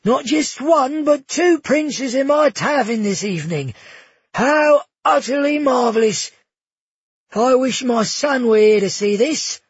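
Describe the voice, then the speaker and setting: A man voicing a giddy, fawning old male tavern keeper with a husky voice, in a storybook reading. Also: very high voice, slow, no room sound, muffled recording, voice pushed up, exaggerated voice. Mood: gushing. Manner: effusive, gushing, exclamatory.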